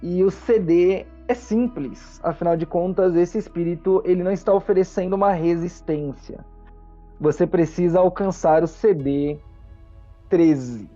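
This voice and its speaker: A man's voice: high-pitched, measured pace, dark tone, lo-fi sound. A man with a Brazilian accent narrating a tabletop fantasy game as game master, in an explanatory storytelling manner. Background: background music.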